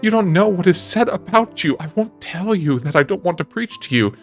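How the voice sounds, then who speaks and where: A man voicing an earnest, anguished gentleman painter who pleads and moralizes with dramatic emphasis in an audiobook. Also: sits high, lively intonation, brisk, soft background music. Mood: pleading. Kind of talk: dramatic audiobook character reading.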